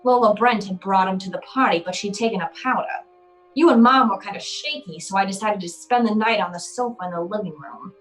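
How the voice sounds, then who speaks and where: A woman's voice: medium pitch, a little fast, a bit bright, soft background music, lightly acted, poor audio. A woman playing a hardboiled private eye, narrating a noir radio play in a dry, wry, tough way.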